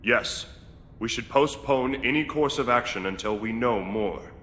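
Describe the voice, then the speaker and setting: A man's voice: medium pitch, unhurried, pushed and bright, slight background noise. A man acting a composed, dutiful military retainer in a video game, formal and level-headed. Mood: serious, composed.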